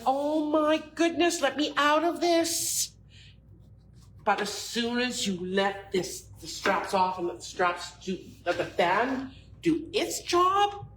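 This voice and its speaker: A middle-aged woman with a big voice, a bra fitter chatting casually and telling lively stories, acting out what her customers say. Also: fairly high pitch, slight background noise, low-quality recording. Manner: lively storyteller, acts out customers' lines. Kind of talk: casual shop talk with stories.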